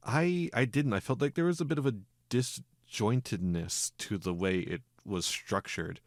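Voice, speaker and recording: A man's voice: medium pitch, measured pace, no room sound, clean studio sound. A man hosting a casual film podcast, getting over a cold, wry and chuckling between his remarks. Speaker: podcast host.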